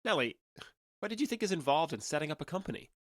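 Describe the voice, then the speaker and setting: A man's voice: a little high, big pitch swings, quick pace, no room sound. Candid, awkward young alien guy, dry sound. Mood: casual, breezy. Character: awkward, candid young male alien trader passing as human. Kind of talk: audio drama dialogue.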